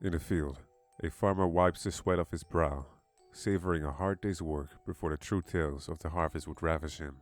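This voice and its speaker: Low-voiced man narrating horror. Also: moderate pace, hardly any pauses, faint music behind. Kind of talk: scripted horror story narration.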